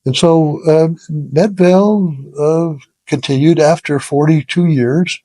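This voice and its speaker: A man in his seventies talks reflectively as an interview guest, in a slightly husky voice. He is halting and self-deprecating, often pausing to find the word. The sound is dry and close. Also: on the high side, dark tone, dry recording, poor audio. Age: 70s. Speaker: interview guest, retired business founder. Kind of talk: casual reflective storytelling in an interview.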